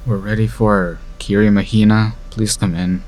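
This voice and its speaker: Man acting as casting director, lowish pitch, polite, smooth, audio drama, faint background noise. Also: level tone, normal speed, lightly acted. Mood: businesslike, polite.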